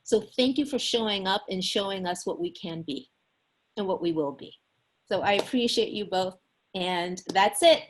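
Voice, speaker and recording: A woman moderating a panel discussion on a video call, prompting guests with gentle questions and effusive thanks. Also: medium pitch, normal speed, a little hiss or hum.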